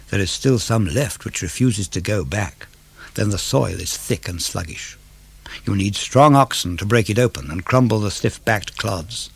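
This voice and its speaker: A man reading poetry for radio in a measured, declamatory way, with rising and falling phrases. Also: fairly low pitch, creaky, darkish tone, slightly rough. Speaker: poetry reader. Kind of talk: dramatic radio verse reading.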